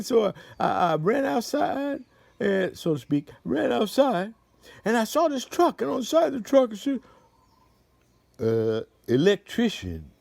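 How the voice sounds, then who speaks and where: A woman voicing a laid-back kung fu teacher who calls people "dude" and tells stories in a slow, easygoing, playful way. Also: on the high side, creaky, a little mellow, dry recording, pitched below the performer's own voice, heavily acted.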